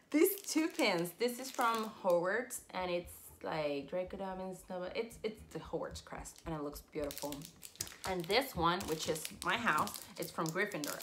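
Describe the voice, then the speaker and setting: A woman's voice: on the low side, slight background noise. A young woman in her twenties, raised in Puerto Rico with Spanish as her first language, chatting casually and animatedly in a collector's haul video from a room full of shelves. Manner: chatty and animated, squealing with excitement, frequent laughs. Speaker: collector vlogger. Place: collectibles-filled room indoors. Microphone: camera at desk distance.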